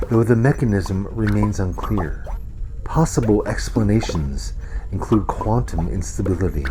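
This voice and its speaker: A man playing a towering botanical alien doctor in a sci-fi comedy audio drama, speaking in a measured, clinical, pedantic way. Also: on the low side, rough recording.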